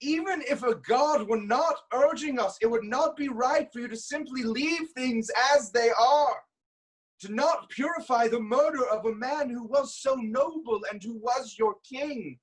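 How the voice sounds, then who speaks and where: Man acting slow, high-voiced commanding king. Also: slightly rough, exaggerated voice, low-quality recording. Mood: stern, commanding. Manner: deliberate, declamatory. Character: proud, commanding king of ancient Thebes vowing vengeance. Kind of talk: staged reading of Greek tragedy.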